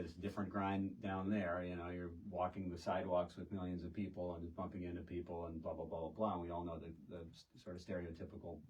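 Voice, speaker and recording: A middle-aged American man with a very low voice talks as a guest in a casual conversation. He explains in a halting, rambling way with asides, sitting some way off the microphone. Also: a little mellow. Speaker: podcast guest, realtor. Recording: distant microphone.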